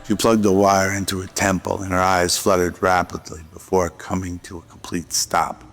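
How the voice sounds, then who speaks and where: A man reading scripted narration for an audio drama in a steady voice. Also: low-pitched, normal speed.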